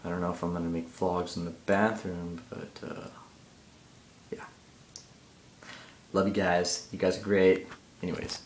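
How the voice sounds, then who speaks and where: A teenage boy with a somewhat low voice rambles into a handheld iPod camera held at arm's length. He talks loosely and jokily, losing his thread. The small tiled bathroom gives the sound a hard, echoing ring. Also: flat delivery, measured pace, long pauses, slight background noise. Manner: rambling, easily distracted, jokey. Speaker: gaming vlogger. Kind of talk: casual rambling vlog. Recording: echoey bathroom.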